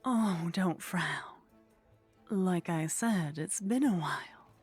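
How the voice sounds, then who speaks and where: A woman playing a haughty, flirtatious vampire in an intimate ASMR roleplay, speaking softly and close to the microphone with a teasing, condescending manner that often drops toward a whisper. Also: lowish voice, lively intonation, takes it slowly, no room sound, soft background music, heavily acted. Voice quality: soft, breathy.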